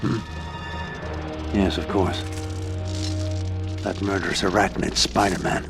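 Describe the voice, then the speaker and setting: Give me a vengeful mad scientist villain for a game, cold and measured, with loud music behind. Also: male voice, low-pitched, flat delivery. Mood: bitter.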